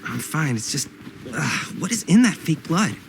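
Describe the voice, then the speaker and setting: An actor playing a nervy, earnest young American brother in a video game drama, speaking casually and hesitantly, eager to please. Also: male voice, fairly high pitch, sing-song, moderate pace, creaky, a little hiss or hum, low-quality recording. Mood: uneasy.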